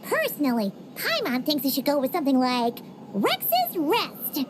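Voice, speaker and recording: A woman's voice: high voice, huge pitch swings, measured pace, a little hiss or hum, full character voice. A woman voicing a tiny, chatty and blunt female fairy companion who teases and talks about herself in the third person. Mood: chatty, teasing.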